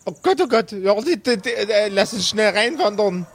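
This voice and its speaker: A man's voice: sits high, expressive, normal speed. A man playing a flustered investigator who stammers and scolds his partner in an improvised comedy audio drama. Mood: flustered, exasperated. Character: flustered, nagging, high-voiced investigator grumbling at partner.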